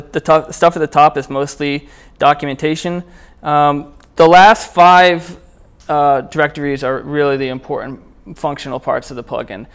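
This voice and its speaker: Clear-voiced man giving a casual tech talk. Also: a little high, a bit bright. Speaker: presenter at a programming meetup. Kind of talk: casual technical talk with live demo. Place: indoor meetup room, speaking before a small group.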